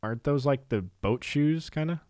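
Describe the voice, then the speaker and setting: A young man co-hosting a laid-back podcast, chatting loosely and laughing easily between items on a list. His voice sits at an ordinary middle pitch. The sound is dry and close to the mic. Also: moderate pace, dry recording. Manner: relaxed, laughs often, reads out lists with asides. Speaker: podcast co-host. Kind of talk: casual chat.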